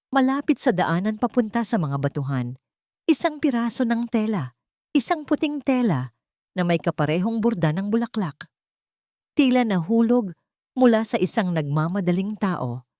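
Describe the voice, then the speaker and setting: A woman's voice: medium pitch, darkish tone, no echo, studio quality. A woman with a Filipino accent narrating a scripted drama in a suspenseful, theatrical way. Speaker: story narrator.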